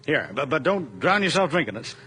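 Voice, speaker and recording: An actor playing a kindly, lonely old widowed farmer in a radio drama, folksy, plain-spoken and a little hesitant. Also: male voice, on the high side, very animated, fairly quick, sharp tone, heavily acted. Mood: friendly. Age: older. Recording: radio drama with faint noise, farm ambience.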